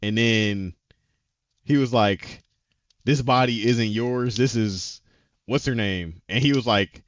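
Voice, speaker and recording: A man's voice: mid-range voice, slow, no echo, highs cut off. A man co-hosting a casual talk show, retelling scenes animatedly and acting out the characters' lines. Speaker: podcast co-host. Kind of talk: casual chat recapping anime episodes.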